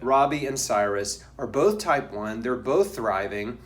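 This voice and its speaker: A man hosting a casual video interview, talking informally and haltingly with plenty of fillers. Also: mid-range voice, lively intonation. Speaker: host. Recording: faint background noise.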